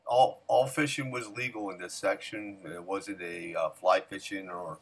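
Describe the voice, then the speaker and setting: A middle-aged man giving casual, excited commentary while fishing outdoors by a creek, recorded on a head-mounted action camera. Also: low voice, slightly rough, dry recording. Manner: excited exclamations, folksy talk. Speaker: fishing vlogger. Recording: outdoor action camera with loud water noise. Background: rushing water noise.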